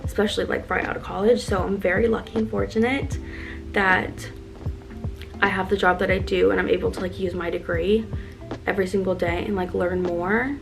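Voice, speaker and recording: A woman's voice: mid-range voice, fairly even intonation, fairly quick, vocal fry. A woman in her early twenties chatting casually while getting ready, upbeat and earnest, with lots of "like" fillers. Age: 20s. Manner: chatty, filler-heavy with constant "like". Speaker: lifestyle vlogger. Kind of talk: casual get-ready-with-me chat. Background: background music.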